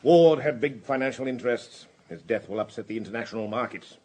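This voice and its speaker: A man's voice: mid-range voice, a little fast, darkish tone, slight background noise, lightly acted. Man acting a clipped British police director. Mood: concerned, courteous. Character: senior police director, anxious yet courteous, authoritative. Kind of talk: BBC radio drama acting.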